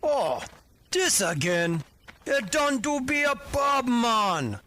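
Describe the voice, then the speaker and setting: A man's voice: very high voice, very animated, slow, pushed and bright, heavily acted. A man playing a cheerful, teasing troll shaman with a mock Caribbean accent in a fantasy audio drama. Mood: amused.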